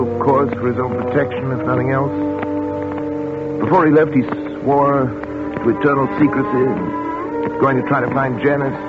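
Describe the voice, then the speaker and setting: An actor playing a tormented scientist and father in a 1940s radio thriller, dramatic and halting, with words repeated in dread. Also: medium pitch, close to an everyday voice. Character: tormented male scientist, a father in thrall to a brain. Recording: tinny 1940s radio broadcast with music.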